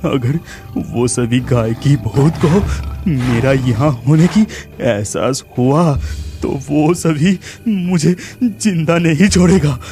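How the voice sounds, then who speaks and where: An actor playing a brave but anxious young village lad, thinking aloud dramatically in a cartoon horror story. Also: male voice, a little high, slow pace, dark tone, faint music behind. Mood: fearful, cautious.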